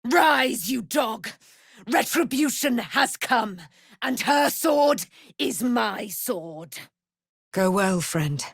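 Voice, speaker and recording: An actress playing a proud, wrathful immortal warrior, daughter of a moon goddess, declaiming in grand archaic speech for a fantasy game. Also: female voice, a little high, animated, drawn-out words, gravelly, slightly rough, no echo, clean studio sound, full character voice. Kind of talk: theatrical fantasy game voice acting.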